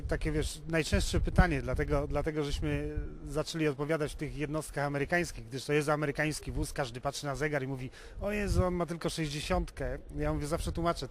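A man's voice: on the high side, slight background noise. A Polish man being interviewed informally as a car owner, explaining in a wordy way and often rephrasing himself. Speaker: interviewee, classic car owner and hobby restorer.

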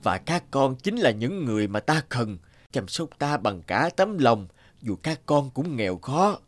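A man voicing a stern but kindly old father in an animated folk tale, speaking slowly and deliberately. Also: medium pitch, faint music behind. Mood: earnest, warm. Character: stern old father, testing and finally kind.

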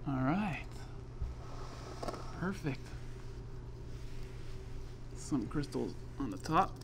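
A man's voice: medium pitch, expressive, drawn-out words, background noise. A middle-aged man hosting a casual, explanatory science demonstration in an indoor lab, speaking informally as he works. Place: indoor school chemistry lab.

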